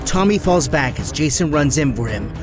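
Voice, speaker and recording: Guy narrator for action audio drama, slightly high voice, loud music under. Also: animated, normal speed.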